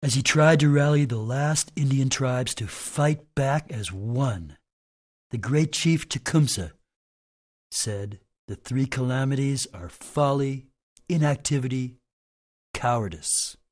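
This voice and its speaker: A man playing a collected sixty-year-old shaman-like sage in a radio drama, grave and measured, with a husky voice. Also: medium pitch, darkish tone. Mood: grave, wise. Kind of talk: radio drama, solemn oratory.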